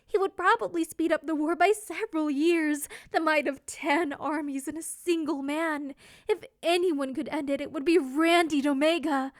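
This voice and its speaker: A woman narrating a scripted romantic story in an expressive, theatrical storytelling manner. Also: sits high, moderate pace, dry recording, clean studio sound. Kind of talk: scripted dramatic fan fiction narration.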